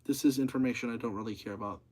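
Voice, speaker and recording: Monotone teenage guy rambling on stream. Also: medium pitch, rapid-fire. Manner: rambling, repeats himself, many fillers. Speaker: livestreamer. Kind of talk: casual livestream chat.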